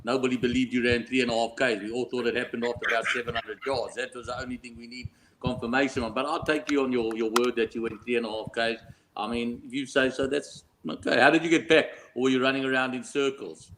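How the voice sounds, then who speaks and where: A man's voice: mid-range voice, fairly even intonation, fast talker, fairly bright tone, rough recording. A South African man chatting casually as a betting guest, bantering and often ending on tag questions. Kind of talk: casual chat.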